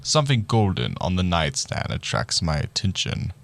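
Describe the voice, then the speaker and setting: A man's voice: low-pitched, very animated, moderate pace, creaky, a bit bright, full character voice. A man playing a bumbling, oblivious detective who dramatically narrates a murder mystery in a radio play. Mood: grim, theatrical.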